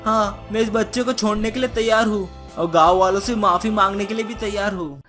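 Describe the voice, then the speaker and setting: A woman's voice: medium pitch, fairly quick, a bit bright, close to an everyday voice. A menacing witch mother from a cartoon tale, acted out by a woman. She gloats, scolds and threatens, yet dotes on her child. Music plays behind the voice. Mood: anxious, tender. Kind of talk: cartoon story character voice.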